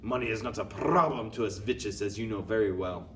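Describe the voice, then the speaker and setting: A man's voice: medium pitch, very animated, moderate pace, fairly bright tone, far from the mic, slight background noise. Storybook villain: tyrannical shrieking witch queen, a man putting her on, menacing, wildly swinging delivery. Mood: commanding. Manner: thundering, menacing, odd foreign phrasing.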